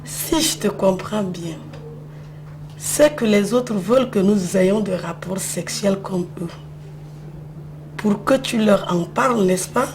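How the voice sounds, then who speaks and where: A woman acts out a principled young girlfriend in an educational drama, loving but firm. She speaks slowly at a medium pitch. Faint noise sits behind her. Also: a little mellow, poor audio. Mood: firm, questioning. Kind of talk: scripted dialogue in an educational film.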